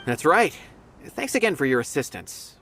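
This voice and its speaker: A man's voice: a little high, very animated, normal speed, a bit bright, a little hiss or hum. A man playing an earnest, courteous law officer in a video game, politely telling his account over music. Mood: friendly. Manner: polite, storytelling. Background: background music.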